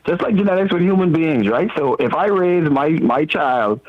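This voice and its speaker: A man's voice: a little high, lively intonation, normal speed. A man calling in to a radio show, chatting casually and insistently, repeating his words as he makes his case. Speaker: radio call-in guest. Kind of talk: casual opinionated chat. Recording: dull band-limited radio call.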